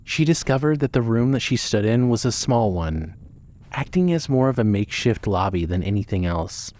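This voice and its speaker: A man's voice: mid-range voice, mellow. Man narrating a dramatic horror story.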